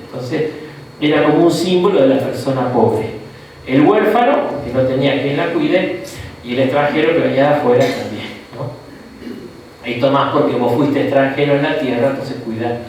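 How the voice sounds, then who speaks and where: A man with an Argentine accent teaching a Bible class in a relaxed lecture style, often checking in with the room through "¿no?" and short asides. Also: mid-range voice, normal speed, slight background noise. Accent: Argentine Spanish. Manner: conversational, frequent "¿no?" and "¿tá?" tags, asides. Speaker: bishop teaching a Bible course. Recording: echoey room, mic far off.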